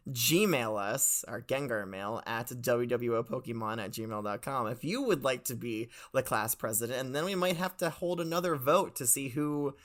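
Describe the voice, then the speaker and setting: A man's voice: mid-range voice, normal speed, a bit bright. Playful man hosting as a mock professor. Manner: playful, mock-formal lecturing with frequent laughs. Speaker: podcast host playing a professor. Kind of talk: comedic podcast banter.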